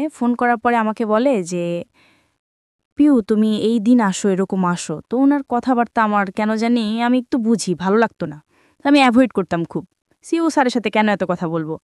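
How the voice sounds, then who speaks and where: High-voiced young Bangladeshi woman, close and dry. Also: animated, a little fast, darkish tone, dry recording. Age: young adult. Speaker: guest telling own life story. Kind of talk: conversational personal storytelling.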